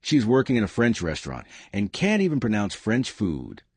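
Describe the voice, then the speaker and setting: A man playing an arrogant rich man who talks in a loud, sneering, theatrical way. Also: lowish voice, huge pitch swings, moderate pace, husky, no echo, exaggerated voice. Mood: mocking.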